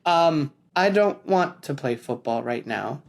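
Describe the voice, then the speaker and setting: A man's voice: a little high, animated, takes it slowly, vocal fry, full character voice. A man voicing an anxious, embarrassed young schoolboy in a children's story, flustered and stammering as he pleads. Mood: nervous evasive. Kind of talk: children's storybook read-aloud.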